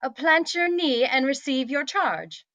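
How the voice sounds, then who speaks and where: A woman plays a haughty young princess for a comedy script reading. Her voice is high and bright, and she speaks in a pompous, commanding way, as if grandly important. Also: expressive, measured pace, no echo, exaggerated voice. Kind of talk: comedic screenplay table read.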